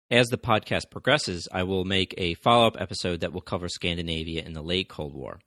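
A man's voice: deep voice, fairly bright tone, dry recording, studio quality. A man hosting a history podcast, reading a scripted narration steadily, with the odd stumble and restart. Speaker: podcast host.

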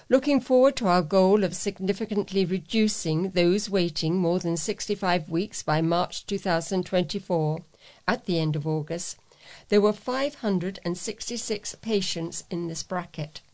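A woman's voice: lowish voice, a little mellow. A woman reading scripted local news items as a volunteer newsreader.

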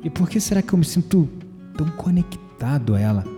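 An actor playing an amiable, self-deprecating, introspective man in an audio drama, speaking Brazilian Portuguese in a measured, reflective way. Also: fairly high pitch, sing-song, over music. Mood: intrigued, longing. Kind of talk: audio drama dialogue and inner monologue.

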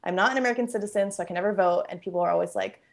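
A young woman from Bangkok who grew up in Los Angeles talks with a slightly gravelly fry in her mid-range voice. She chats loosely as a guest, looping through fillers and laughing easily, recorded at home. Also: mid-range voice, very fast. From born in Bangkok, raised in Los Angeles. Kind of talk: casual conversational interview. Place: at home, kitchen nearby.

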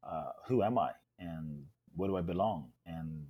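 A man's voice: sits low, a little slow, mellow, slightly rough. A man raised in London, a guest speaker on a podcast, telling his life story in an earnest and reflective way. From Ghana, raised in London.

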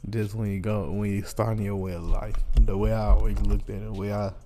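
A man's voice: lowish voice, flat delivery, brisk, dark tone, faint music behind. A man co-hosting a casual, profane comedy chat, repeating phrases for emphasis. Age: 20s. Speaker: podcast co-host.

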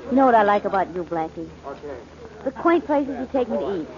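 A woman's voice: medium pitch, animated, brisk, natural voice. An actress playing a playful young girlfriend in an old-time radio drama, bantering and teasing. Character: playful, teasing, warm-hearted girlfriend of a detective. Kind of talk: 1940s radio acting. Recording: muffled old radio recording, background crowd.

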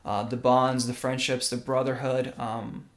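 A man's voice: medium pitch, steady tone, unhurried. Earnest young man hosting a faith podcast. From Russia. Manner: earnest, tag questions like "right?". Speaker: podcast co-host. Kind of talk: casual religious podcast talk.

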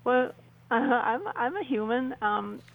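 A woman speaking as a radio interview guest, answering conversationally in an earnest, reflective and halting way. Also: on the high side, vocal fry, narrow, tinny audio.

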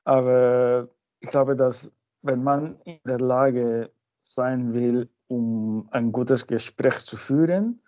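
A man from Peru, a native Spanish speaker with a Peruvian Spanish accent, answering interview questions informally and haltingly, searching for words. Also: mid-range voice, steady tone, dark tone. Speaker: interview guest. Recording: dull lo-fi sound.

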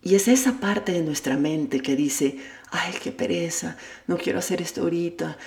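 Low-voiced woman coaching in an echoey room. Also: moderate pace, darkish tone. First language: Spanish. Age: adult with a child at home. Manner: candid, self-deprecating, addresses the listener directly. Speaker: life coach and podcast host. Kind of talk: motivational monologue.

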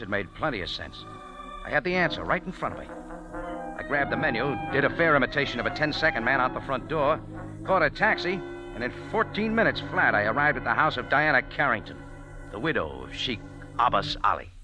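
Man playing a hardboiled café owner narrating over music, lively wry delivery, old radio broadcast. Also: mid-range voice. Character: wisecracking American male café owner. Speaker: lead and narrator.

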